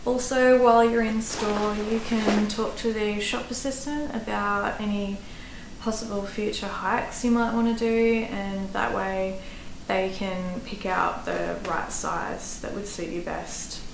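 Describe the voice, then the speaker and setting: An Australian woman in her thirties talks straight to the camera in an easygoing, practical way, dropping the odd "um" as she explains her gear. Her voice sits in a middle pitch. The small room gives it a noticeable echo, and a faint background noise hangs behind it. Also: flat delivery, measured pace, creaky. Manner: chatty, practical, with fillers. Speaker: hiking vlogger. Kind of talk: casual gear advice to camera.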